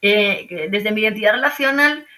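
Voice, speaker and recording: Bright-voiced Madrid woman, thinking aloud. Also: medium pitch, expressive, normal speed. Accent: Madrid Spanish. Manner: thoughtful, many fillers and restarts, rhetorical tag questions. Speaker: archaeologist guest. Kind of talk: conversational interview. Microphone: video call.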